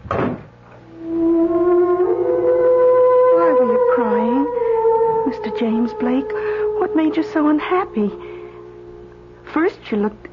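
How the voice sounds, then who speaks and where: High-pitched radio drama woman, earnest and hesitant, muffled noisy vintage recording. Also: expressive, slow, pauses often, over loud music. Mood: tearful pleading. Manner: hesitant, repeating words, pleading. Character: gentle, earnest woman keeping watch over a dead man.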